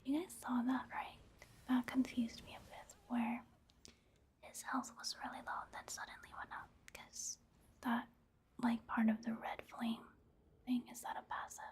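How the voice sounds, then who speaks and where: A woman giving soft-spoken ASMR commentary over gameplay in a breathy, hushed whisper, unhurried. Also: fairly high pitch, level tone. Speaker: ASMR video creator narrating her gameplay.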